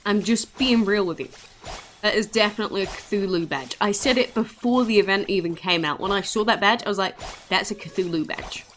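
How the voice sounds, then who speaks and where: A woman's voice: medium pitch, brisk, a bit bright, a little hiss or hum. Chatty woman doing casual game commentary. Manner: chatty, playful. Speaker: gaming video creator.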